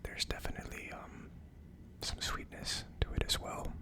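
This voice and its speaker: A person whispering in a hushed, unvoiced way, hosting a casual ASMR tasting review. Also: normal speed. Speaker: host of an ASMR whiskey tasting show.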